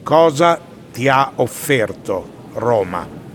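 A man's voice: mid-range voice, sing-song, takes it slowly, big-room sound, a little hiss or hum. A man with an Italian accent, asking questions and narrating a scripted radio theatre piece. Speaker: interviewer and narrator.

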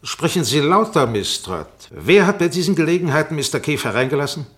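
An actor playing a sharp, sarcastic defense barrister in a radio courtroom drama, pressing, insinuating and commanding. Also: male voice, a little high, animated, a little fast. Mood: controlled, probing. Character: sharp, sarcastic male defense barrister cross-examining a witness.

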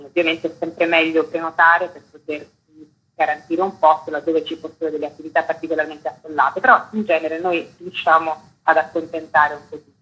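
A woman's voice: low voice, a bit bright, husky, poor audio. A woman being interviewed on the radio as an artistic director, explaining a programme in detail.

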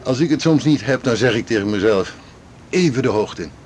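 A man's voice: mid-range voice, animated, fairly quick, darkish tone, a little hiss or hum, natural voice. An actor playing a kind, reassuring male colleague in a radio drama, talking casually in brief phrases with a Netherlands Dutch accent. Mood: reassuring, easygoing.

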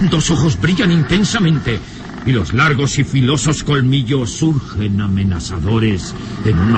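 A man's voice: on the low side, very noisy, close to an everyday voice. A man narrating an old horror radio serial in an ominous, emphatic, suspenseful style.

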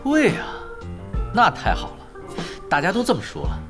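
A man's voice: sits high, wildly swooping pitch, normal speed. An actor playing a laid-back, shameless young male pro gamer who loves money, with a nonchalant, teasing manner, in an audio drama. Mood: gleeful. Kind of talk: Chinese audio drama acting. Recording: music behind the voice.

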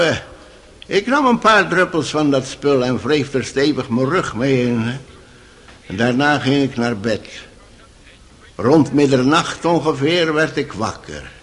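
A man's voice: mid-range voice, animated, measured pace, a bit bright. An actor playing an old male servant on the witness stand in a radio drama, hesitant and easily indignant. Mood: rambling. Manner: rambling, hesitant, indignant when challenged. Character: excitable old male servant testifying in court.

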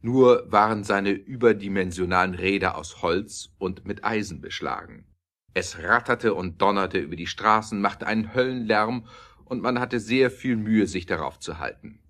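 A male narrator with a low, deep voice tells a scripted story. His phrasing is measured and literary, with clear emphasis on key words. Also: a bit bright.

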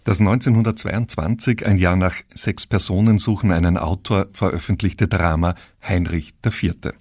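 Male presenter, scripted drama introduction, lowish voice, articulate, no room sound. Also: steady tone, moderate pace, darkish tone, dry recording, studio quality. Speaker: radio presenter. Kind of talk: scripted introduction to a radio play. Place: dry radio studio. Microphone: close studio microphone.

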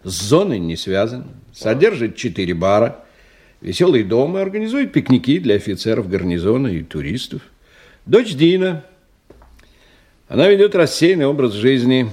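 A man in his fifties in a radio play, with a middle-pitched voice. He reports to his boss in stiff, official phrasing and slips into irritable, muttered asides. He is a testy subordinate. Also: sing-song, moderate pace, darkish tone, breathy edge. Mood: businesslike. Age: 50s. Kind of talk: Russian radio drama acting.

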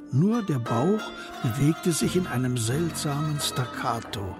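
Male radio drama narrator over music. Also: mid-range voice. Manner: measured, descriptive. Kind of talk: scripted literary narration in a radio play.

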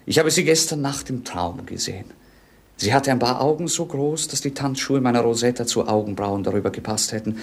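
An actor playing a bored, witty young prince in a 1950s radio play, with a mannered, mocking stage delivery. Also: male voice, mid-range voice, a little fast, husky. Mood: ironic, languid.

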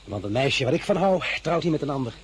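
An actor playing a confident, ambitious young officer in a radio drama. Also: male voice, mid-range voice, big pitch swings, fast, a little hiss or hum, close to an everyday voice. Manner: confident, grandiose. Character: young ambitious officer, grand and self-assured.

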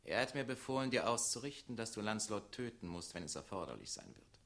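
An actor playing a tyrant's dutiful young private secretary, formal and impassive, in a 1960s radio play. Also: male voice, fairly low pitch, sing-song, fast talker, a bit bright. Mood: cold, dutiful. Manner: formal, clipped, impassive delivery.

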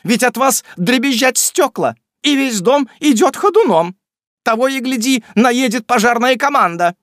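A man voicing a furious middle-aged landlady with a shrill, screeching voice. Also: animated, normal speed, no echo, studio quality, natural voice. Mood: furious shrieking.

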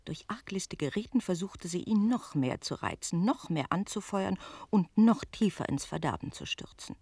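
An actress in a German radio play voicing a lively innkeeper woman who tells a tale with articulate, expressive delivery. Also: on the low side, moderate pace, creaky, breathy edge, dry recording, exaggerated voice. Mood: dramatic relish.

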